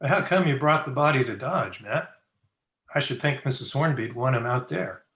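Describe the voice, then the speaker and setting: A man acts as a western town doctor in an old-time radio play. He has a medium-pitched voice and talks in a plain, folksy, concerned way. The recording is roomy and echoing. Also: moderate pace, a bit bright, lightly acted. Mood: inquiring. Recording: roomy, echoing home video-call recording.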